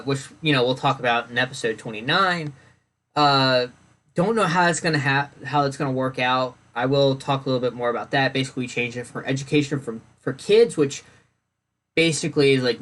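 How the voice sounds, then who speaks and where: A man's voice: medium pitch, a bit bright. A man hosting his own podcast alone, talking in a loose, rambling way with many fillers and restarts, sounding congested and phlegmy. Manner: rambling, self-correcting, lots of fillers. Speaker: podcast host. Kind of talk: casual solo podcast talk.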